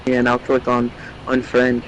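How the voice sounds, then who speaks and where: A man's voice: medium pitch, monotone, normal speed, a little hiss or hum, rough recording. A man playing an online flight game with a friend, bantering back in a competitive, casual way. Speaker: friend and co-player. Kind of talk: casual gameplay chat.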